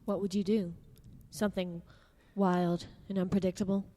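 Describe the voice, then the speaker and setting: A woman performing a treacherous young beauty in a radio play. She talks in halting, evasive bursts. Her voice is middle-pitched, with a long hall reverb behind her. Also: level tone, mellow, lightly acted. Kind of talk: radio drama acting.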